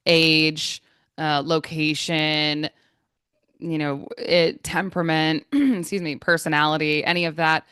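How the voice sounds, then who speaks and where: American woman, English trainer; low, slow, smooth voice, dry close sound, measured and reflective. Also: a bit bright, no echo. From United States. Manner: thoughtful, measured, with frequent fillers. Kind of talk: conversational interview answers. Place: Florida.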